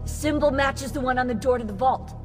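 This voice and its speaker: A woman plays a brisk, practical analyst in a video game, with a fairly high voice and casual, blunt delivery. The sound has a long hall reverb. Light music sits behind her. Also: natural voice. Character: brisk, matter-of-fact woman tech analyst. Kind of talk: video game dialogue acting.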